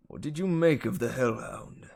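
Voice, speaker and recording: A man reading a children's fantasy book aloud, voicing a weary old centaur mentor in a measured, solemn, stern manner. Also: mid-range voice, gravelly, darkish tone. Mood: weary gentle. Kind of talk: dramatic children's audiobook reading.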